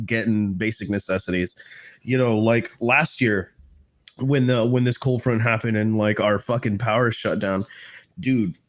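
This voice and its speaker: Male co-host, congested, rambling, profane, casual. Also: on the low side. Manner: rambling, slangy, swears a lot. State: stuffy, recovering from flu. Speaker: podcast co-host. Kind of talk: casual chat.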